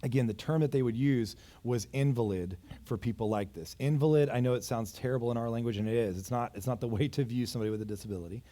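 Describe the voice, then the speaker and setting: A man in his thirties preaching a sermon, moving between raised urgent passages and quiet, intimate ones, with self-deprecating asides. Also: medium pitch, fast talker, hardly any pauses. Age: 30s. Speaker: pastor. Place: church.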